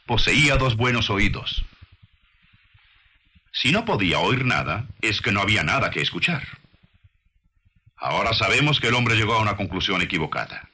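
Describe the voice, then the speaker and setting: Deep-voiced man narrating, lively and bright. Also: slightly rough. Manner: didactic, deliberate, rhetorical questioning. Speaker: documentary narrator and preacher. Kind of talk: scripted narration with sermon-like delivery.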